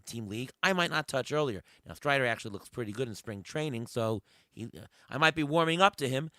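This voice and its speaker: A hoarse man hosting a sports podcast, firing off questions and thinking aloud in casual chat. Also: medium pitch, animated, fast, pushed and bright, no echo, clean studio sound. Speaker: podcast host.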